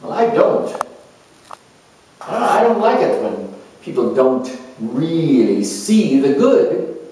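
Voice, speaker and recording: A man acting out a vain, self-important company boss in a solo stage dramatization, theatrical and grandiose with dramatic pauses. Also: mid-range voice, big pitch swings, warm tone, pitched below the performer's own voice. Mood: wounded, defensive. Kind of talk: solo astrological character dramatization. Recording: echoey room, distant microphone.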